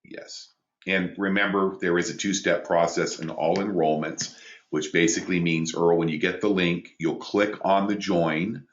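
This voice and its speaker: A man with a big booming voice hosting a live business training call from a small studio, reading out viewer questions and explaining sign-up steps. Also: steady tone, normal speed, reverberant, highs cut off, a little hiss or hum. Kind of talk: live webinar training talk.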